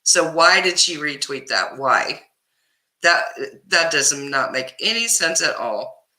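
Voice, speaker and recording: A woman's voice: deep voice, expressive, takes it slowly, pushed and bright, echoey room. A woman from Kansas with a Kansas accent, hosting a casual live stream, reading viewers' comments and answering them by name, often laughing. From Kansas, US Midwest. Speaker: livestream host reading chat.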